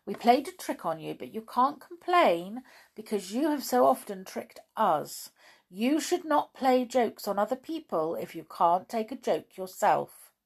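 A woman doing a gentle golden-haired doll. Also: on the high side, big pitch swings, unhurried, vocal fry, pitched above the performer's own voice, exaggerated voice. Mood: soothing.

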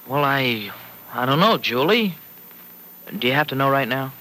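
An actor playing a fretful, protective, sardonic uncle in a folksy, hesitant way, in old-time radio serial style. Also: male voice, medium pitch, animated, normal speed, sharp tone. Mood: gently patient. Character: Uncle Freddy, gruff-hearted fretful family man, protective and sardonic. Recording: noisy vintage radio recording.

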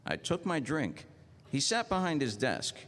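An actor playing a tough, terse, world-weary police detective narrating a 1940s-style noir radio drama. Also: male voice, fairly high pitch, sing-song, measured pace, fairly bright tone, slight background noise. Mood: tough, dry. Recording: radio play over prominent music.

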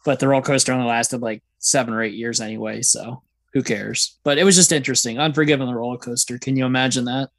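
Podcast co-host, man, mid pitch, loose chatty delivery, dry close sound. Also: steady tone, fast talker, no room sound. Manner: chatty, hedging with fillers. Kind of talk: casual film podcast chat.

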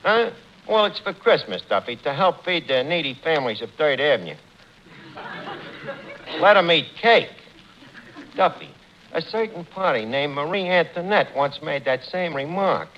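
A man's voice: on the high side, expressive, normal speed, long pauses, close to an everyday voice. An actor playing a wisecracking, slangy tavern manager who mangles his words in a New York accent, in 1940s radio comedy style. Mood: breezy, wry. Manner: slangy, wisecracking, full of malapropisms. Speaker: tavern manager, lead comic. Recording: dull, noisy 1940s radio recording.

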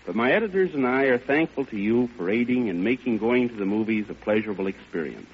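A man's voice: fairly low pitch, lively intonation, quick pace, breathy edge. A man, presenting an award in a stiff, formal prepared speech on vintage radio. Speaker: award presenter, magazine editor. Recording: dull vintage radio broadcast.

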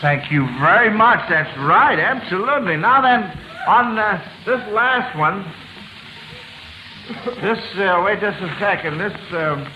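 A man's voice: sits high, unhurried, lo-fi sound. A man hosting an old-time radio quiz in a chuckling, fumbling, schoolmasterly way. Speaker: quiz show host. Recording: noisy, crackling old radio broadcast. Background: steady loud hiss and crackle.

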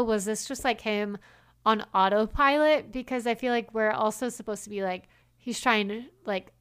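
A woman's voice: on the high side, clear, resonant tone. A woman co-hosting a podcast, chatting casually and acting out quoted lines with lots of "like" fillers. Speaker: podcast co-host. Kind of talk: casual chat.

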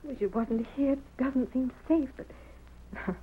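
A woman's voice: high voice, takes it slowly. A woman playing a worried, hesitant American wife and mother in an old radio Western. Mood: worried, tender. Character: anxious young frontier wife and mother. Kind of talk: 1940s Western radio drama acting. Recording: tinny, very noisy old radio recording.

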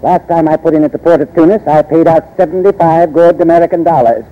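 An actor playing a blunt, grumbling American merchant captain, full of indignation, in 1940s radio style. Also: male voice, a little high, quick pace, lightly acted. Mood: indignant. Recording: muffled old radio recording.

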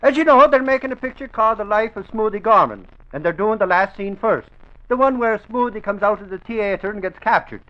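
A man's voice: sits high, a little fast, close to an everyday voice. An actor playing a boastful, gullible Irish newspaperman with a pompous, blustering manner in an old radio comedy-drama. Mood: eager, pompous. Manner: pompous, blustering, self-important. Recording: muffled old radio recording.

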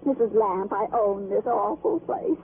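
A woman's voice: mid-range voice, expressive, unhurried, close to an everyday voice. A woman playing a talkative, put-upon widowed American landlady in an old radio drama, rambling and colloquial. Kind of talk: 1950s radio character acting. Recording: muffled, noisy vintage radio broadcast.

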